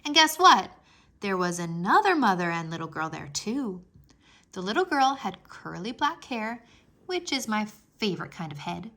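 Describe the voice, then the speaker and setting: Outspoken, anxious five-year-old girl played by a woman, storybook narration, bright and emphatic, childlike delivery. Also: medium pitch, big pitch swings, normal speed. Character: outspoken, worried little kindergarten girl narrating her day. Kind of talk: animated children's read-aloud.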